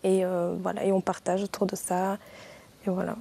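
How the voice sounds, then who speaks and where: A young woman from Rwanda, calmly reflecting on her group as an interview guest. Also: lowish voice, normal speed, vocal fry, slightly rough. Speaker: student group member, guest.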